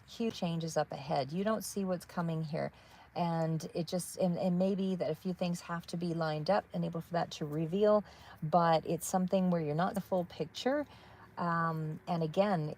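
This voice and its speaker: A woman giving a live tarot reading in a calm, soothing voice that wanders between thoughts with many fillers. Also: lowish voice. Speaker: tarot reader.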